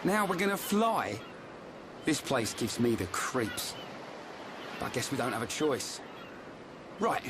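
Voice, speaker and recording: An actor playing a brash, plain-spoken young adventurer in a video game, blunt and expressive. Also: male voice, mid-range voice, measured pace, pauses often, background noise. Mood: skeptical. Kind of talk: video game cutscene acting.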